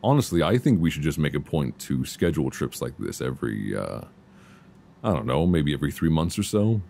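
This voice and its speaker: A man playing an affectionate, reassuring boyfriend in an intimate, casual ASMR roleplay, speaking softly and soothingly close to a binaural mic. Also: very deep voice, very animated, vocal fry, full character voice. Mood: affectionate, relaxed. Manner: soft, intimate, unhurried, soothing. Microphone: close binaural microphone. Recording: faint background noise, binaural.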